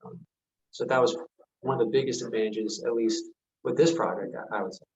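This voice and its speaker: A man speaks haltingly in a casual interview as an actor, with a medium-pitched voice. The sound is roomy and echoey, with the dull quality of a video call. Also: steady tone, a little mellow, a little hiss or hum. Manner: halting, hesitant. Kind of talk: casual Q&A discussion.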